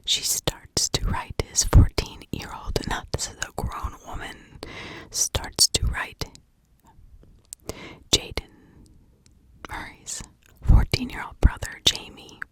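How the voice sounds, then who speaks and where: A woman whispering in a breathy, unvoiced ASMR style, telling a story informally with conversational asides. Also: slow pace. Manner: conversational, with rhetorical asides and check-ins. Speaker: ASMR true crime storyteller.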